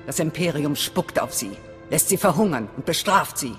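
A woman's voice: deep voice, animated, normal speed. A woman playing a hard, distrustful resistance fighter in a video game, speaking in a blunt, commanding, menacing way. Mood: menacing, suspicious. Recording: long reverb, faint music behind.

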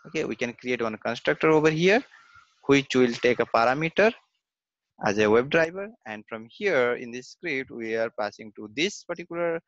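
A man giving a screen-recorded software testing lesson, halting, with many fillers and a habit of saying "okay" and "fine.". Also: mid-range voice, animated, no echo, lo-fi sound.

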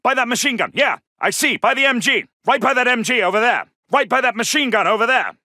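A man's voice: very high voice, expressive, quick pace, sharp tone, no room sound, clean studio sound, exaggerated voice. A man voicing an alert soldier in a video game, giving clipped, urgent military callouts. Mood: brisk, confirming. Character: alert, gruff British male Second World War soldier. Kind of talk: shouted combat callouts.

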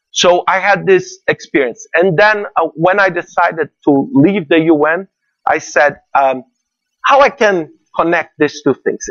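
A middle-aged man from Brazil with a Brazilian Portuguese accent, giving an earnest conference talk in a large hall, halting with fillers and repeats. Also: fairly high pitch, fairly bright tone, highs cut off. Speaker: conference speaker. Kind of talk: keynote talk.

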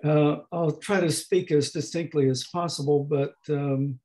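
A man in his eighties lecturing slowly over a video call, with a hoarse, gravelly voice that is not fully distinct and that often pauses and restarts. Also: on the high side, fairly even intonation, mellow. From United States. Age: 80s. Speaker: professor emeritus giving a talk. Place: home room at a desk. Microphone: webcam on a video call.

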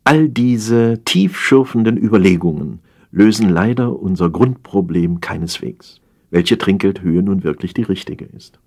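Male audiobook narrator, raspy voice, expressive melody, wry, theatrical, scripted comic prose. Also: sits low, unhurried. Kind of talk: scripted narration of humorous satire.

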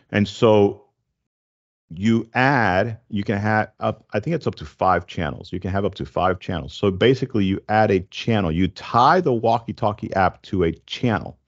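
A man in his forties hosting a casual tech explainer, speaking in a halting, stop-and-start way into a close desk microphone. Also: lowish voice, highs cut off. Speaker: tech show host. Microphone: desk condenser microphone, close, with headset.

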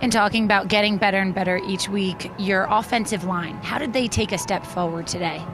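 A woman's voice: mid-range voice, steady tone, a little fast, background noise. A young woman reporting from the sideline holds a handheld mic outdoors at night. She puts her questions in a polished, upbeat broadcast manner. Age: young adult. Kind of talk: postgame field interview. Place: outdoors on a football field at night.

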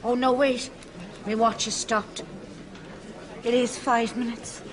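A woman's voice: a little high, flat delivery, slow, a loud crowd. A woman playing a well-meaning but tactless older friend in a sitcom. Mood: fussy reassurance. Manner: tactless, literal-minded. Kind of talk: sitcom acting before a live audience. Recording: very noisy live studio sound.

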